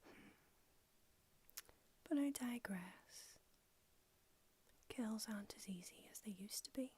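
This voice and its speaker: A woman whispering in an ASMR roleplay as an ancient, aloof female vampire doctor, unhurried and drily haughty. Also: mid-range voice, mellow, husky. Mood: languid haughty. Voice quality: whispered throughout. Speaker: ASMR creator.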